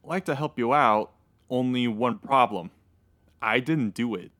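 A man playing a sullen murder suspect in a comedy radio play, speaking in a slow drawl. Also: medium pitch, fairly bright tone, no room sound, clean studio sound, natural voice.

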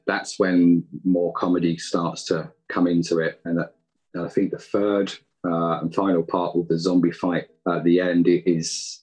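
Low, husky man talking casually. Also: a little mellow, rough recording. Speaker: guest, audio drama writer and editor. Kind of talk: casual interview talk.

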